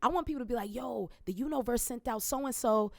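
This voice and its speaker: A woman guest in a casual interview, talking in an animated, emphatic way about her business and laughing often. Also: on the high side, brisk, gravelly, dry recording, studio quality. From Jamaican family. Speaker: guest entrepreneur.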